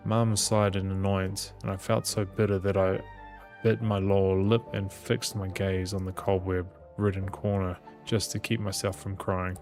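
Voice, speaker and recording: A man with a lowish, gravelly voice narrates a visual novel aloud. His intonation stays fairly flat, and he trips on the odd word as music plays softly behind. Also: normal speed. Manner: reads aloud with small stumbles. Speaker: gaming channel host reading the game aloud. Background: game music.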